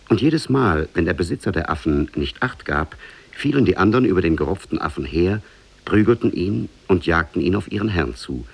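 A man narrating an Oriental fairy tale in a measured way, as in a 1950s German radio play. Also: low-pitched, vocal fry, husky. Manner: measured, storytelling.

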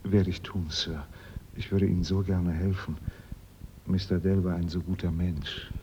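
An actor playing a shaken, deferential manservant with a halting way of talking in a radio crime drama. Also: male voice, low voice, level tone, a little mellow. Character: subdued, shaken manservant questioned after being drugged. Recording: muffled, noisy radio drama recording.

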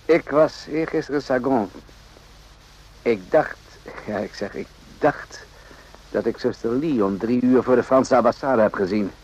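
An actor playing a composed, probing army captain in a radio drama, speaking in a measured, understated way with hesitant pauses. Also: male voice, mid-range voice, a little mellow, breathy edge. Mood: guarded, measured. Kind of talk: radio drama acting in Dutch. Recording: dull, muffled old radio recording.

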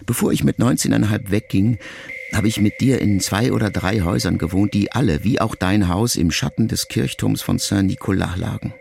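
An actor playing a wounded, reflective ageing son in an intimate radio drama monologue, speaking in a measured, gravelly voice. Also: male voice, fairly low pitch, a little mellow, a little hiss or hum. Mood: wounded, brooding. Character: grieving ageing son addressing his dying mother, wounded, reflective.

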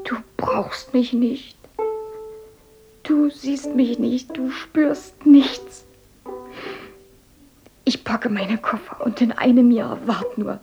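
An actress playing a stubborn, passionate young foster daughter in a radio play, talking intensely and pleading. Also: female voice, a little high, takes it slowly, long pauses, dull audio, over music. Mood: bitter, determined. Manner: intense, pleading, sometimes whispering. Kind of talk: radio drama acting.

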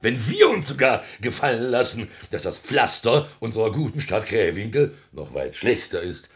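An actor playing a pompous, self-important elderly small-town mayor in a radio comedy, declaiming and blustering in stuffy officialese. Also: male voice, medium pitch, big pitch swings, moderate pace. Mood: smug. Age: older. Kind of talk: theatrical radio comedy acting.

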